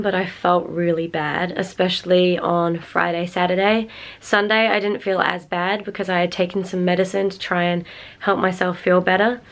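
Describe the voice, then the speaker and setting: A young woman in her twenties chatting slowly to a camera held at arm's length in her bedroom, her voice husky and congested from a cold. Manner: slow, low-energy talk. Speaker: singer vlogger. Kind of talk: casual vlog chat. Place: small bedroom indoors.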